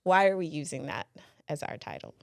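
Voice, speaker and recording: Brief: woman podcast co-host, low voice, chatty and laughing, dry close sound. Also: sing-song, brisk, gravelly, no room sound. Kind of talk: casual podcast chat.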